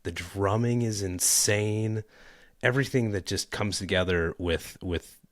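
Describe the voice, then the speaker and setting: A man's voice: lowish voice, a little slow, no room sound, studio quality. A man in his thirties co-hosting a casual music podcast, talking in a rambling, enthusiastic way with many restarts. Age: 30s. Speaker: podcast co-host. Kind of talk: casual chat.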